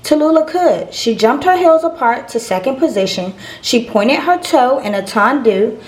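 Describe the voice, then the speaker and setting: A woman's voice: sits high, expressive, moderate pace, rough recording. A woman reading a children's picture book aloud as a storyteller.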